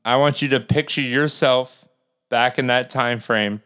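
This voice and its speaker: A man's voice: mid-range voice, fairly even intonation, a little slow, bright, forceful tone, clear, resonant tone. A man co-hosting a podcast, cutting in with sarcastic, profane jokes in casual banter. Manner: sarcastic joking interjections. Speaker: podcast co-host.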